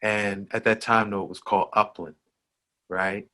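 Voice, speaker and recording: A man hosting a conversation, walking through local history in an unhurried way, with frequent fillers. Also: on the low side, flat delivery, sharp tone. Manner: unhurried, with many fillers like "you know" and "uh". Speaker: host. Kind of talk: slow conversational history talk.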